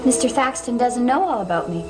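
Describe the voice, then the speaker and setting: Actress for eerie, evasive young female visitor in gothic TV drama; higher register, clear musical underscore. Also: lively intonation, fairly quick, over music, lightly acted. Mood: cool, evasive. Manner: enigmatic, answers questions with cryptic remarks. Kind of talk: 1970s gothic television soap acting.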